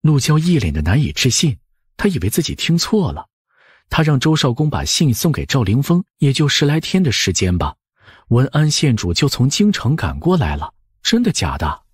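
A man's voice: medium pitch, wildly swooping pitch, moderate pace, slightly rough, dry recording, clean studio sound. A man narrating an audiobook in a scripted storytelling style.

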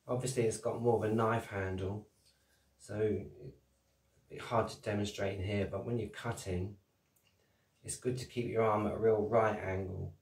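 Low-ish guy rambling thru a diy build at his workbench, cheery, echoey room, mic a bit far off. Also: darkish tone. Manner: cheery, rambling, laughs at own jokes. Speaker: cigar box guitar builder and channel host. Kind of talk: chatty how-to demonstration. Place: small home workshop room, indoors. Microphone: camera mic at desk distance. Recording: roomy, distant camera sound.

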